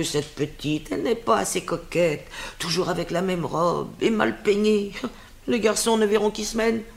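A woman's voice: vocal fry, close to an everyday voice. An actress voicing an old royal nurse who scolds and dotes on her charge, in a stage drama. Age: older. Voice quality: lively scolding voice, swinging high and low.

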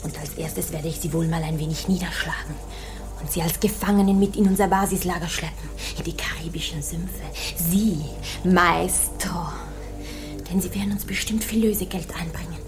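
A woman's voice: on the low side, steady tone, moderate pace, close to an everyday voice. An actress playing a defiant, commanding woman who passes herself off as a male pirate sailor in a radio play. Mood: threatening. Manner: commanding, insistent. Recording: music behind.

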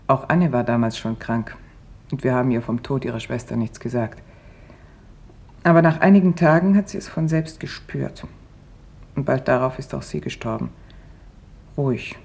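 An actor playing a grave, subdued witness recalling camp deaths in sober, halting testimony for a German radio drama. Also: male voice, mid-range voice, vocal fry, darkish tone, close to an everyday voice. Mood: sombre, subdued.

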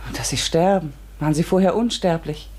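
A woman's voice: sits low, brisk, a little mellow, a little hiss or hum, lightly acted. An actress playing an earnest, inquisitive and easily bewildered woman in a radio play, speaking tentatively. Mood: probing, sympathetic. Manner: tentative, questioning. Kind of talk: German radio play acting.

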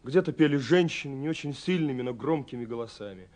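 An actor playing an earnest young romantic in a 1970s Soviet radio play, confiding haltingly with dramatic pauses. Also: male voice, medium pitch, expressive, darkish tone, lightly acted. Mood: searching, restless. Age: young adult. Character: earnest young romantic man searching for a mysterious girl. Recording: 1970s radio recording with faint music. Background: faint music.

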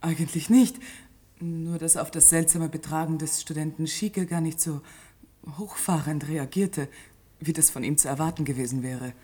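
A proper, easily flustered secretary in a radio play. She speaks politely and hesitantly in a low, breathy voice. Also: steady tone, normal speed, dark tone. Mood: reserved. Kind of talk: German radio play acting.

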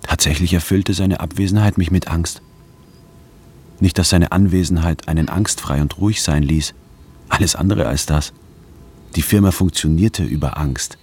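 An actor playing a brooding, introspective office anthropologist who narrates a radio drama in a measured way. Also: male voice, low-pitched, darkish tone, breathy edge. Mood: brooding, detached. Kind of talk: scripted first-person radio drama narration.

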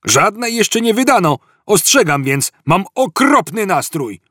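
A man's voice: sits high, very animated, normal speed, a bit bright, no echo, clean studio sound, pitched above the performer's own voice, full character voice. A man voicing a moody, aggrieved policeman in a comic science fiction audiobook, calling out his complaints. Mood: touchy, aggrieved. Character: second space policeman, touchy, moody would-be novelist.

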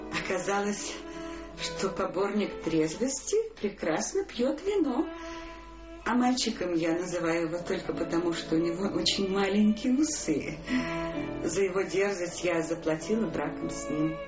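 Actress as a vain opera diva, echoey, over music. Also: female voice, lowish voice, lively intonation, normal speed, lightly acted. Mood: reminiscing, self-satisfied. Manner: theatrical, capricious. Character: vain, temperamental opera singer diva, woman. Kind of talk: Russian radio play acting. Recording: echoey, narrow-band, loud music underneath.

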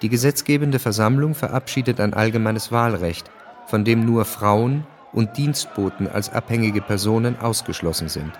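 A man reads scripted narration for a radio drama. His voice is fairly low, his delivery measured and factual, with slight background noise. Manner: measured, matter-of-fact.

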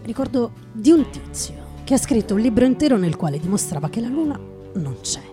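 A woman playing a world-weary, intense male writer in a theatrical radio reading, halting and repeating phrases. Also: fairly high pitch, big pitch swings, over music. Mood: wry, weary. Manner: halting dramatic delivery with repeated phrases and pauses. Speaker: stage actress performing a literary monologue.

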